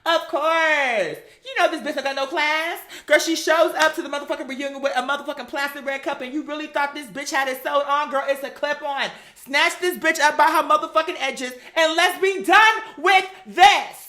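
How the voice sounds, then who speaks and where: A woman's voice: sits high, normal speed, hardly any pauses. An American woman hosting a recap show, giving casual, blunt, sassy opinions with plenty of slang and swearing. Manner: sassy, animated, slangy, acts out imagined dialogue; blunt, profane. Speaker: host of a reality TV commentary show.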